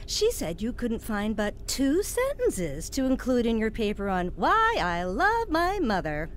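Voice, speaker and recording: A woman's voice: fairly high pitch, wildly swooping pitch, unhurried, a little hiss or hum. A woman playing a cruel, angry mother in an audio drama, scolding and belittling with heavy sarcasm. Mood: mocking, sarcastic.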